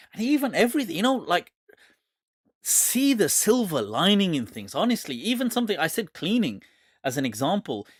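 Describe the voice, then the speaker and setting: A man's voice: high-pitched, fairly bright tone, no echo, studio quality. A man in his forties hosting a live question and answer show, chatting casually and laughing often. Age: 40s. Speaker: host answering viewer questions.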